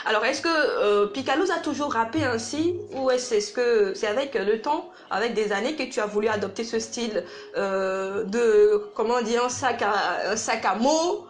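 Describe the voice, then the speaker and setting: Female radio co-host, fairly high voice, feeling out long conversational questions. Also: normal speed, hardly any pauses.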